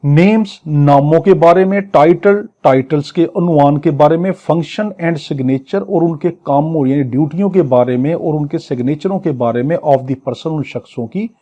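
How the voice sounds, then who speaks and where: Pakistani guy teaching a law class, soft mellow tone, slightly high voice, reads a clause then explains it, asks and answers himself. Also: a little slow, hardly any pauses. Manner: didactic, asks and answers own questions. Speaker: law lecturer. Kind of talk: exam lecture, reading clauses aloud then explaining them.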